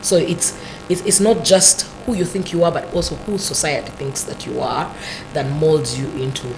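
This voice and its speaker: A Ugandan woman with a deep voice, a talk show guest explaining her ideas thoughtfully with many "you know" asides. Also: steady tone, normal speed, music in the background, rough recording.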